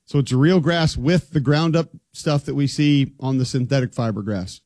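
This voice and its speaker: A man co-hosting a relaxed sports talk show, wry and joking as he reads out facts and figures. His voice sits at an ordinary pitch, recorded close and dry with no room sound. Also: normal speed, no echo.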